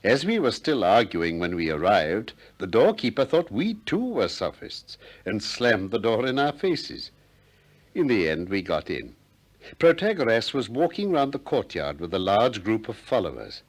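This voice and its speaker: Ironic old-school radio philosopher, probing questions, very animated pitch, deliberate. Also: male voice, full character voice. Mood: wry narration. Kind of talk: 1960s British radio play acting.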